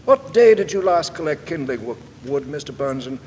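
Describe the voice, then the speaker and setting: Coroner, 1940s radio drama. Polite but relentless, fairly high voice, faintly hissy old recording. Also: male voice, sing-song, darkish tone, in a large hall. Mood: probing. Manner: formal, probing, deliberately polite. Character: persistent, courteous male coroner questioning witnesses at an inquest.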